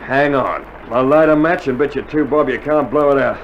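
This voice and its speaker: Radio drama: seasoned Australian infantryman, male actor, middle-aged, gruff and wry, slangy delivery, mid-pitched, on a noisy old record. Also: normal speed, muffled recording, natural voice. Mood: wry, weary. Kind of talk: 1960s radio drama acting.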